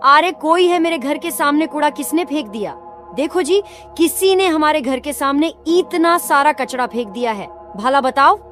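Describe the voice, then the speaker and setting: A woman's voice: high voice, animated, moderate pace, fairly bright tone, faint music behind. A woman voicing an outraged, scolding village housewife in a cartoon story.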